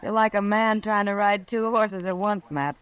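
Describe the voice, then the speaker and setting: A woman's voice: medium pitch, fairly even intonation, moderate pace, smooth, lo-fi sound, lightly acted. An actress playing a worldly, independent frontier saloon woman, dry and knowing, in an old-time radio Western. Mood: wry, teasing. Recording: vintage radio broadcast recording.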